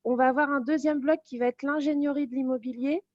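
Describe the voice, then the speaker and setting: A woman with a high voice explains a course the way a teacher would, informally and step by step. The sound is close and dry, though a little dull and lo-fi. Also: steady tone, fast, a little mellow, no room sound. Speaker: teacher presenting a real estate course.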